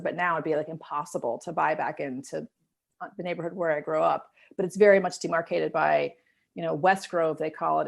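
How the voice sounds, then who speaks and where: A woman's voice: low voice, gravelly, slightly rough. An American woman in her fifties talking casually as an interview guest, explaining things in a chatty, informal way. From Miami, United States. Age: 50s. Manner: talkative, explanatory, informal with frequent "like" and "you know".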